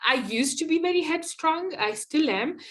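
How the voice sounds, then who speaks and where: A young woman with a Pakistani accent, a guest in a casual interview, talking quickly and leaning on fillers like "like, you know". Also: sits high, expressive, a bit bright. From Afghanistan.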